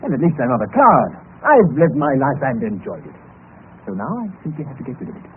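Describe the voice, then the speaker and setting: Reckless young British gentleman, courteous bitter gaiety, animated pitch, vintage radio drama with noisy sound. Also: fast talker, narrow, tinny audio. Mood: rueful, flippant. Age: young adult. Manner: polite, formal, flippant about despair. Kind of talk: old-time radio drama acting.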